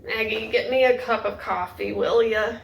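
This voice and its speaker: A woman's voice: on the high side, steady tone, normal speed, vocal fry. Woman voicing a growling, demanding husband. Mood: irritable, ordering. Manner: growling, rustic, bossy. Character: bad-tempered, demanding rural husband. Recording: echoey room, faint noise.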